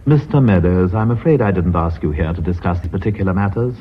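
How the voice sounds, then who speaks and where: A man's voice: low-pitched, fairly quick, breathy edge. An actor with a British accent playing a composed, polite and formal company chairman in a radio drama. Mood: courteous, formal. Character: courteous, composed senior knighted company chairman. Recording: dull, slightly noisy radio drama recording.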